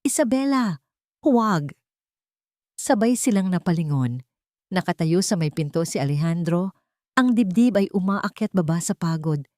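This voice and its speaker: Female storyteller, low register, dramatic scripted delivery for romance audio fiction, close dry recording. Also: expressive, normal speed, dark tone, dry recording. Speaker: story narrator.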